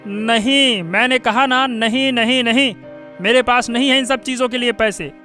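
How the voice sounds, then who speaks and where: A man plays a harsh village father in a cartoon. His voice is very high-pitched and sharp, blunt and scolding, over faint music. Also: fairly even intonation, moderate pace. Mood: angry. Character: harsh, dismissive, hard-drinking village father. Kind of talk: Hindi cartoon story voice acting.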